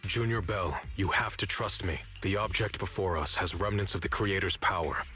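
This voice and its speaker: Serious big brother from a video game, low forceful voice, earnest and formal, bit of music. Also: male voice. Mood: earnest, confessing. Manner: measured, solemn, formal. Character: grave, earnest older brother desperate to save his sister. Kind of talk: scripted video game voice acting.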